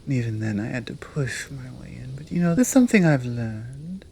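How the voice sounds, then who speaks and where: A woman with a British accent playing a seductive, commanding monster woman in an intimate ASMR roleplay, teasing and often dropping into a breathy whisper. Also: a bass voice, animated, a little fast, a little mellow, a little hiss or hum, heavily acted. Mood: seductive, pleased. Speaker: audio roleplay performer.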